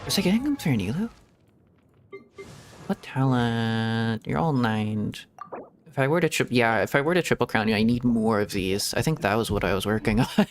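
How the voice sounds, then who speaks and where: A man's voice: medium pitch, expressive, normal speed, slight background noise. A man streaming a video game as a VTuber, chatting casually in a rambling, giggly way. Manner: rambling, giggly, self-deprecating. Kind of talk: casual gameplay livestream chat. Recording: streamer mic with game music behind.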